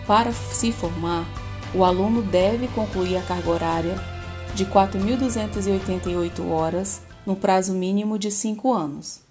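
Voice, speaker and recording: A woman reading a scripted voice-over about a pharmacy degree in a clear, measured way. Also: mid-range voice, music in the background. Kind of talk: scripted narration.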